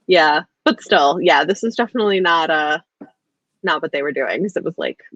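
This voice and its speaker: A woman's voice: low-pitched, lively intonation, normal speed, fairly bright tone, dry recording, lo-fi sound. A woman in a casual, informal book chat, speaking in an agreeable, hedging way as host. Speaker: host of a book discussion livestream.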